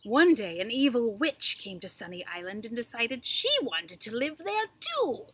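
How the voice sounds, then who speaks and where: A middle-aged woman reads a children's story aloud in an expressive, animated way, with deliberate enunciation and dramatic pauses. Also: on the high side, slightly rough, no room sound. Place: outdoors on a backyard wooden deck. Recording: faint outdoor background noise with birdsong.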